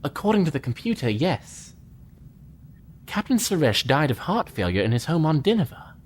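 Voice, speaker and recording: An eager young engineering lieutenant, played by a man answers his captain readily. His voice is lively and rises and falls a lot. A faint hiss sits under the recording. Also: on the high side, moderate pace. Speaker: engineering officer. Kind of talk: fan audio drama acting.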